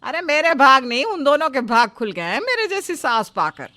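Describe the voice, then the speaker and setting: A woman's voice: high voice, big pitch swings, normal speed, noisy background. A woman voicing an irritable, jealous middle-aged Indian mother-in-law in a cartoon moral story, with a scolding, emphatic manner. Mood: defensive.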